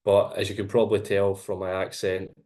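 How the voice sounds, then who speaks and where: A man from Glasgow with a strong Glaswegian accent walks through his research findings. His voice sits fairly low and he explains each point in a measured, steady way. It sounds like a video call. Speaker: presenting researcher. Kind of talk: academic seminar presentation.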